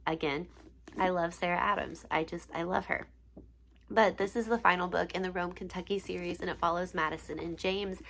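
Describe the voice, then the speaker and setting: A woman's voice: on the low side, fairly even intonation, a little fast, vocal fry, fairly bright tone, a little hiss or hum, rough recording. A young woman who is congested and sniffly, chatting casually and gushing about books she read, with many ums and likes. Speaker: book vlogger. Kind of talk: casual book review chat.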